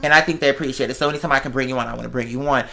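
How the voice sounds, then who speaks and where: An American man hosting a show, chatting casually in an animated, emphatic way and repeating points to drive them home. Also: medium pitch, racing, gravelly. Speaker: show host. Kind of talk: casual conversational talk.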